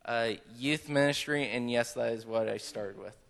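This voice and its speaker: Young male graduate, sincere and halting, echoing hall. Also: mid-range voice, gravelly, a bit bright. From Kansas. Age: young adult. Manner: earnest, halting, many fillers. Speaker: graduating student.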